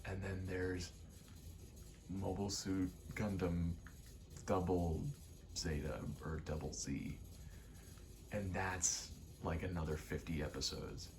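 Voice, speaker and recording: A young adult man in a quiet, casual ASMR-style ramble, speaking candidly and hesitantly, often trailing off. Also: low-pitched, fairly even intonation, takes it slowly, long pauses, a little hiss or hum. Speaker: video maker.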